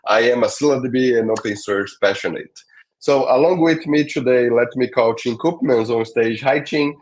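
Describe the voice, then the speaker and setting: A man hosting an online tech webinar. His voice sits at an ordinary middle pitch, and he leans on "uh" and "um" as he moves from point to point. He checks in with the audience now and then. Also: moderate pace. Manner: many fillers, checks in with "all right?". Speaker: webinar host.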